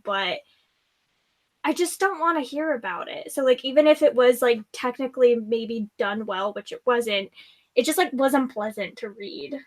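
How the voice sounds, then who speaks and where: High-pitched woman, blunt casual chat. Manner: frequent "like", blunt and informal. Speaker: book discussion co-host. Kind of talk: casual book discussion chat.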